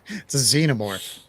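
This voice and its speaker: A man hosting a radio show, chatting casually and jokingly about films in an informal way. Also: mid-range voice. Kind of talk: casual film review banter.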